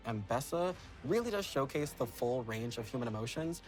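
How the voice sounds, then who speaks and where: A man's voice: medium pitch, lively intonation, normal speed, over music. Emphatic male developer in an interview. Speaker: game developer. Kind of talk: developer interview in a promo video.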